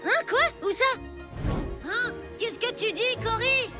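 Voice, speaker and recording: A woman's voice: very high voice, slow pace. A woman voicing a confused, complaining, slightly cowardly young kid in a French children's cartoon. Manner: questioning, complaining. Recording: loud music behind the voice.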